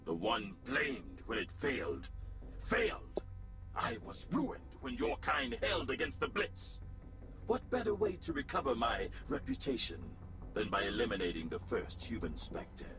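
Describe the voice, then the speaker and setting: A man's voice: mid-range voice, wildly swooping pitch, a little slow, over music, low-quality recording. A man playing a proud, vengeful alien pirate warlord in a video game, menacing, theatrical and bitter. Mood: bitter, angry.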